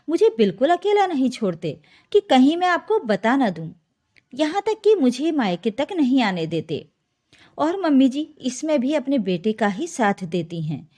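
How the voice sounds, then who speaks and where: A woman's voice: sits high, darkish tone. A woman voicing a distressed young married daughter pleading with her parents in a story. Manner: aggrieved, pleading.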